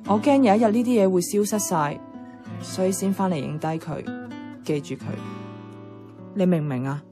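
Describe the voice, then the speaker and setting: A woman's voice: lowish voice, normal speed, natural voice. A woman acting a nostalgic, stubborn character in a Cantonese radio drama, wistful yet matter-of-fact, with a Hong Kong accent. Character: nostalgic, stubborn woman attached to childhood housing estate. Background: guitar music.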